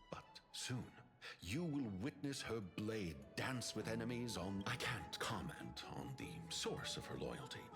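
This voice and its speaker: An actor playing a dignified, loyal lord in a video game, speaking in a measured, formal manner. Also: male voice, on the low side. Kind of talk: video game character acting.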